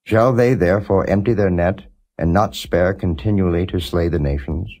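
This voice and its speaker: A man giving a non-dramatized scripture reading as a narrator, in a measured, solemn and formal way. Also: low-pitched, warm tone. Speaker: Bible reader, audiobook narrator.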